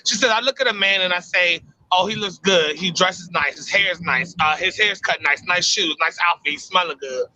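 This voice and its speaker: A man chatting casually on a talk show panel, a little halting as he searches for words, with some background noise. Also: high voice, pushed and bright, dry recording, poor audio. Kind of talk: casual chat.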